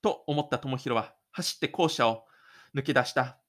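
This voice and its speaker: A man's voice: medium pitch, moderate pace, fairly bright tone. A man reading scripted third-person narration for an audio drama in a dramatic storytelling manner. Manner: dramatic storytelling with spoken sound effects.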